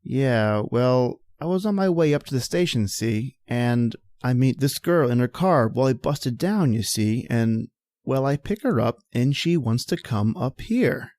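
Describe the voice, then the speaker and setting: A man's voice: medium pitch, lively intonation, measured pace, a little mellow, dry recording, natural voice. Man as a shaken, folksy caller, dry sound. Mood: uneasy, bewildered. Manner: folksy, hesitant, colloquial. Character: shaken, folksy working man phoning in a discovery. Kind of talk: old-time radio drama acting.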